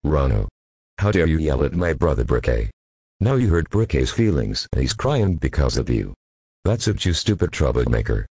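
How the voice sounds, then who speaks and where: A synthetic man doing a deep stern big brother. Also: sing-song, moderate pace, a little mellow, husky, no room sound, heavily acted. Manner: stern, scolding. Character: stern deep-voiced protective older brother. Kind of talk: computer-voiced fan cartoon.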